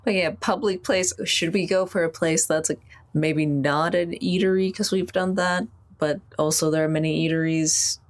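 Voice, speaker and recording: Female tabletop player, low-pitched, casual improvised chat. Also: steady tone, moderate pace.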